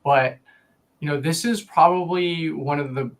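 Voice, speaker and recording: A man with a fairly high voice talks as a co-host in a casual online panel. He picks his words carefully, hedging and rephrasing as he goes. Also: measured pace. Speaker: co-host asking questions.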